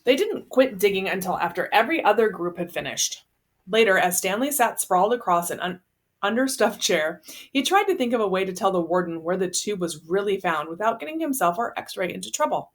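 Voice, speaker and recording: A woman's voice: medium pitch, lively intonation, brisk. A woman narrating a children's novel, reading it aloud as a storyteller.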